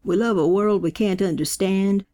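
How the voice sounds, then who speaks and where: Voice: medium pitch, normal speed, dark tone, close to an everyday voice. A narrator voicing a fervent elderly male preacher who fires rhetorical questions at a funeral gathering. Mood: preaching. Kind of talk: audiobook character voice.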